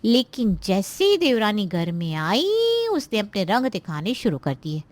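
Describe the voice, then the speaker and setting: A woman's voice: mid-range voice, big pitch swings, a little slow, no room sound. A woman playing a scheming, spiteful married daughter in a cartoon moral story, with a nagging, insinuating and complaining manner. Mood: gossipy, warning.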